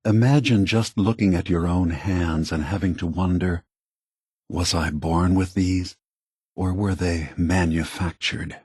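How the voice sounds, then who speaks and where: An actor playing an aging prototype android leader in a video game, a gentle, philosophical idealist with a soft, husky voice and measured, earnest phrasing. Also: male voice, sits low, steady tone, dry recording, clean studio sound. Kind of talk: acted video game dialogue.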